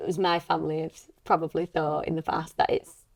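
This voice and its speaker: Lower-pitched woman in earnest casual chat. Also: little pitch movement, a little fast, vocal fry. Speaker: actress being interviewed on a behind-the-scenes podcast, also a teacher.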